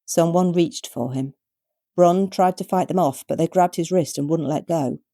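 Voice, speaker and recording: A British woman narrating her own short story with measured, wryly expressive storytelling. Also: low voice, dry recording, studio quality. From Britain.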